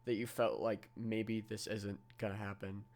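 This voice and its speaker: A man's voice: lowish voice, flat delivery, normal speed, darkish tone. A young man hosting a call interview, asking long, rambling questions with restarts. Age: young adult. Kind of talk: casual interview over a call.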